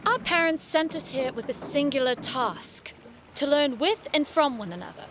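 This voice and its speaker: Casting: sharp-witted young noblewoman student, high-pitched, dryly sardonic, audio drama, slight noise behind. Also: animated, moderate pace. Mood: wry, insistent. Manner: arch, dry, teasing.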